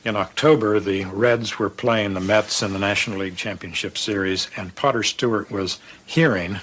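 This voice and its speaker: Low-voiced man telling a wry anecdote. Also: fairly even intonation, moderate pace, a bit bright, a little hiss or hum, poor audio. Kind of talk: measured anecdote storytelling.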